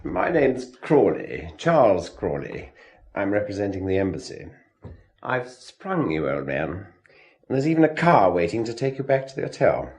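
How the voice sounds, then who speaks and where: A man's voice: lowish voice, very animated, normal speed, gravelly, a little mellow. An actor playing a breezy, slippery English consular man in a radio drama, jaunty and patronizing, with an English accent. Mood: breezy, smooth.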